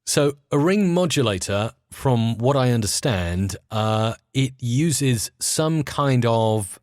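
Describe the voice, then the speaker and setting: A man's voice: medium pitch, animated, slow, darkish tone, no echo, clean studio sound. A man speaking as an interview guest, explaining his craft casually and with enthusiasm, hesitating as he searches for words. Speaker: interview guest, audio producer.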